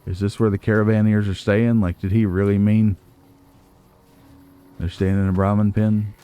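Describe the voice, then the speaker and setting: A man's voice: fairly low pitch, level tone, normal speed, warm tone, clean tone. A man hosting a video game playthrough, chatting casually and musing aloud with wry asides. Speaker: let's play host. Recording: game music behind the voice.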